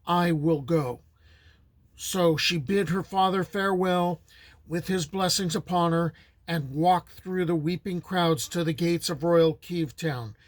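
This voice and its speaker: Middle-aged american guy reading old folk tales, kinda high voice, super dry close mic, easygoing pace. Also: fairly even intonation, dry recording. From United States. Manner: plain, unhurried storytelling voice with small restarts. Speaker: storyteller and channel host. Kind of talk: folktale narration.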